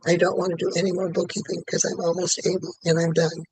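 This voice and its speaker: A man in his late seventies speaks in a high, flat voice, close and dry. He repeats the same statement again and again at a brisk, steady clip as a client in a tapping session. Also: slightly rough, no room sound. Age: 70s. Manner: repeats one statement over and over in a quick, even rhythm.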